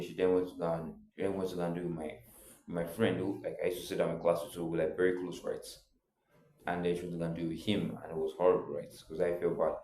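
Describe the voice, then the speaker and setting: A young man tells his own story in a loose, rambling way, leaning on filler words as he goes. His voice sits very low and sounds mellow and soft-edged. The room around him adds a clear echo. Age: young adult. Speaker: vlogger. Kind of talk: casual personal storytelling.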